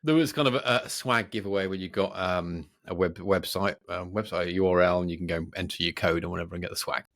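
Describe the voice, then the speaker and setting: A man co-hosting a podcast, chatting casually and off the cuff in a rambling, playful, excitable way. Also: sits low, fast. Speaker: podcast co-host. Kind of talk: casual unscripted podcast chat.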